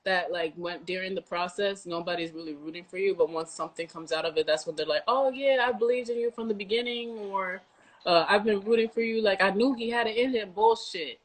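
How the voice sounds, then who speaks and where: A woman's voice: mid-range voice, fairly quick, low-quality recording. A young woman with an American accent, hosting a casual interview in a blunt, informal way. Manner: blunt, informal, swears freely. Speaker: podcast host, interviewer.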